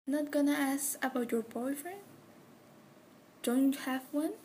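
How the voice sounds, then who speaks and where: Young woman acting a slow, mellow-voiced gentle nurse. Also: high voice. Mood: caring, friendly. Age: teenager or young adult, high school student. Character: gentle female nurse chatting with a young patient. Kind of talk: amateur student book dramatization. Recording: amateur recording with faint background noise.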